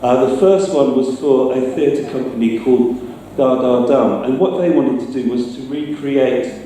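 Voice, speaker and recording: A man's voice: medium pitch, moderate pace, warm tone, a little hiss or hum. Middle-aged man, distant in a very echoey room. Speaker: sound designer giving a talk. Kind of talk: informal public talk with slides. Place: large echoey room, speaking to an audience.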